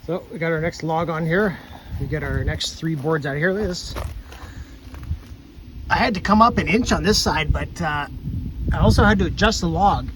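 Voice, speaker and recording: A man's voice: fairly high pitch, normal speed, long pauses, noisy background. A man vlogging casually outdoors at his homestead sawmill, rambling in a folksy way with lots of fillers and "right?" tags. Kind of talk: casual vlog talk to camera. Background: generator running.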